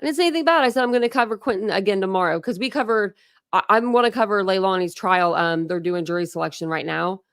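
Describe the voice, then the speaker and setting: A woman's voice: medium pitch, fast talker, a bit bright, clear, resonant tone, no echo, clean studio sound. An American woman hosting a true crime livestream, chatting casually in slangy, mildly cursing speech. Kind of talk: casual live chat.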